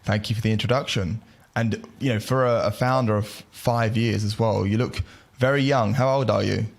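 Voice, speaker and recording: A man hosts a podcast and asks his guest questions in a curious, friendly way. His voice sits somewhat low, and his delivery is conversational. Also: fairly even intonation, normal speed, gravelly. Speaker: podcast host. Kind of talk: conversational interviewing.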